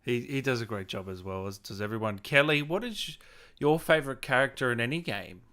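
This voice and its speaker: A man's voice: medium pitch, lively intonation, brisk, a bit bright. A man hosting a casual interview, speaking in an agreeable, slightly hesitant conversational way. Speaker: interviewer, channel host. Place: on a video call.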